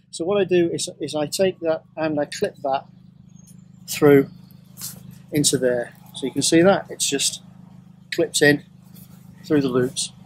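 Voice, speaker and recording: A man's voice: on the high side, a little hiss or hum, rough recording. Middle-aged man demonstrating outdoors. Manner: casual, hesitant with many ums and restarts. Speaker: bushcraft show co-host. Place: outdoors in woodland by a tarp shelter.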